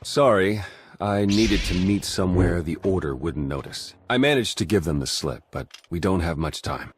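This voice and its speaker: An actor playing an earnest undercover spy in a video game, husky-voiced, urgent and pleading. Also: male voice, low-pitched, animated, normal speed, slight background noise. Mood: urgent, explaining. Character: earnest male spy working undercover among traitors. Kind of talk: dramatic video game acting.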